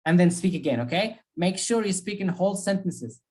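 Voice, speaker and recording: Woman teacher on a video call, a bit low-pitched, drilling points home with repetition and frequent "okay?" checks. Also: animated, a little fast, mellow. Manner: repeats key phrases, checks in with "okay?". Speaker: English speaking teacher. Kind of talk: giving feedback in an online class.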